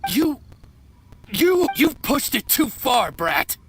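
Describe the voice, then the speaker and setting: A woman's voice: high-pitched, big pitch swings, takes it slowly, noisy background, full character voice. A woman voicing a sly bandit who poses as a friendly traveler in a video game, with a theatrical manner. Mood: menacing. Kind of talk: scripted video game voice acting.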